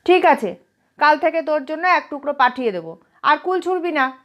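A woman's voice: sits high, lively intonation, brisk, fairly bright tone, dull audio, voice pushed up. A woman voicing a stern, fearless but kindly widowed elder aunt with a scolding, commanding manner in a story reading. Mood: firm. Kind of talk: storybook character voice.

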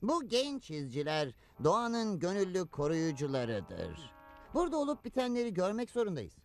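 A man's voice: high-pitched, huge pitch swings, normal speed, faint music behind, exaggerated voice. A man voicing a fussy, over-polite bird scout leader in a cartoon, preachy and easily flustered. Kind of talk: animated cartoon dub acting.

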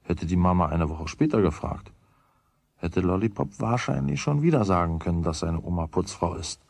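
An actor playing the storyteller, narrating a German children's radio play from a script. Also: male voice, low-pitched, lively intonation, normal speed, vocal fry, a little mellow, no echo, full character voice. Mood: calm storytelling. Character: male storyteller of a children's tale.

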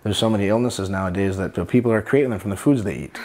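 Fast-talking man explaining healthy cooking. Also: fairly low pitch, darkish tone. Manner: quick, explanatory, lots of fillers and restarts. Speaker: nutrition chef host. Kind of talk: casual cooking demonstration. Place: home kitchen, indoors.

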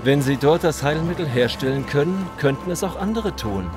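A man's voice: on the high side, lively intonation, normal speed, music in the background. A man in a German audio drama playing a ruthless, smug gang boss with mock-polite, theatrical menace. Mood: coldly menacing.

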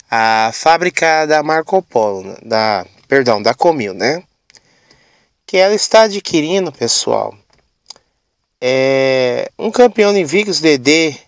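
A middle-aged man hosting his channel with a hoarse voice from a cold, chatting casually and often tagging his sentences with "né". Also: a little high, no echo, clean studio sound. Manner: chatty, frequent "né" and "pessoal" tags. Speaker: channel host. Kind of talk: casual vlog news.

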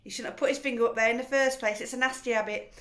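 A woman reading a storybook aloud, voicing a bossy middle-aged mother who scolds loudly. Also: a little high, fairly even intonation, rapid-fire, echoey room, pitched above the performer's own voice, natural voice. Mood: scolding. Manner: loud, scolding.

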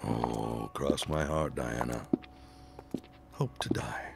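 Man acting a very deep-voiced courtly suitor. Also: vocal fry, heavy background noise, exaggerated voice. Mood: earnest devotion. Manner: florid, formal, drawn-out phrasing. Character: courtly smitten suitor, florid and slightly smarmy. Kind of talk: period audio drama acting.

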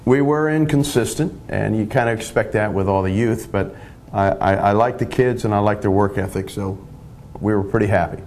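An older man, a long-serving high school lacrosse coach, answering modestly and with restarts in a TV studio interview on a lapel mic. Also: darkish tone, slight background noise. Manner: modest, measured, stumbling restarts.

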